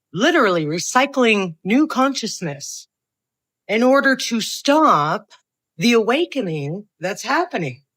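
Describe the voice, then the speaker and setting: A woman's voice: a little high, fairly bright tone, no echo. Emphatic woman in a dry space. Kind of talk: earnest opinion monologue to camera.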